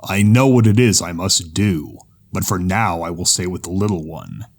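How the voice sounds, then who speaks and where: A woman voicing an ominous, unsettlingly calm zebra with a formal monotone in an audio drama. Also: rumbling low, moderate pace, slightly rough, exaggerated voice. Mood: grave, flat.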